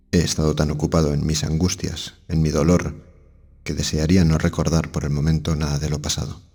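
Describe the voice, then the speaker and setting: A man's voice: a bass voice, darkish tone, husky, full character voice. A man playing a grieving, courteous young lord in an audiobook drama, speaking in a formal, earnest manner.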